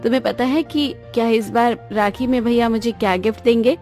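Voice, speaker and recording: A woman voicing a gentle, loyal young sister from a poor family in a cartoon, speaking earnestly and plainly. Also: fairly high pitch, steady tone, brisk, soft background music, close to an everyday voice. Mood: sweet, hopeful. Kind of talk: cartoon moral-story dialogue in Hindi.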